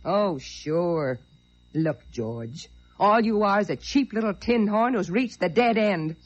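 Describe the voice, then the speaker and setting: A woman's voice: mid-range voice, expressive, slow, faint music behind. An actress playing a hard-boiled, scornful woman, taunting and sarcastic, in an old radio crime drama. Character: bitter, scornful, hard-boiled woman fed up with her man. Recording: dull vintage radio recording.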